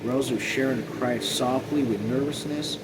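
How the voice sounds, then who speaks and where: A man reading a novel aloud as an amateur, haltingly, often rereading and correcting himself. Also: mid-range voice, fairly even intonation, heavy background noise. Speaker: amateur reader.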